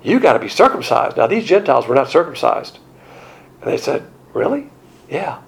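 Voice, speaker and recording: A middle-aged man preaching a sermon, animated and conversational, with colloquial asides and acted-out quotes. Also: medium pitch, moderate pace, gravelly. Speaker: pastor.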